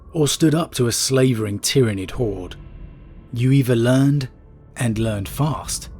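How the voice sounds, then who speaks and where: A man with a stuffy nose from a cold, hosting a lore channel and reading a wargame story aloud in a measured, steady storyteller's delivery. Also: mid-range voice. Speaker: YouTube lore channel host.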